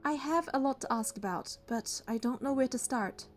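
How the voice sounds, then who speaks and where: An actress playing a devoted, protective young bodyguard heroine in a romance game, telling her story in an earnest, measured way. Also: sits high, soft background music, close to an everyday voice. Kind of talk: romance game narration and dialogue.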